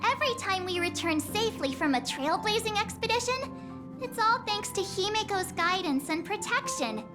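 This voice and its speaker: A bubbly, teasing young crew member with a very high, bright voice. She sounds perky and quick to joke. Game music runs behind her. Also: expressive. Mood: proud.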